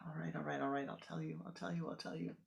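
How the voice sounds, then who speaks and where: A woman voicing a frightened young village girl who breaks down and confesses softly. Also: on the high side, steady tone, extremely slow, voice pushed up. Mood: pleading. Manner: breaking down, pleading, soft.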